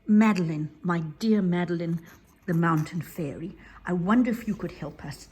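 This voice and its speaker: A woman's voice: lowish voice, moderate pace, a little mellow, slightly rough. A woman voicing a sensible, bossy little girl bear who takes charge. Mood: brisk.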